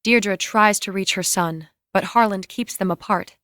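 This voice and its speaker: A woman narrates a script scene by scene, reading the directions plainly and in clipped phrases. Her pitch is medium. The sound is close and dry. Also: measured pace, a bit bright, dry recording. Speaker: reader of stage directions.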